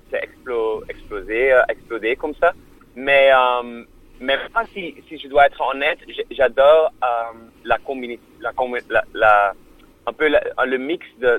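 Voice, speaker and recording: A man speaking French as an in a radio interview, hesitant and full of fillers and restarts. His voice sits at a middle pitch. The sound is dry and close. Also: a bit bright, dry recording, rough recording. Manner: non-native French. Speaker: musician guest.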